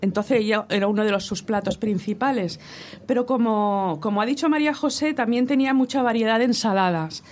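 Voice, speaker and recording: A woman's voice: on the high side, fairly quick, a little mellow. A woman co-hosting a casual talk show, explaining food history in a chatty way, often checking in with rhetorical questions.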